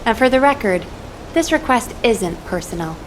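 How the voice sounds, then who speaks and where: An actress playing a composed, authoritative military commander in a video game, speaking in a measured, formal, firm way. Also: female voice, on the high side, lively intonation, slight background noise, lightly acted. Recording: slightly distant, music clearly behind.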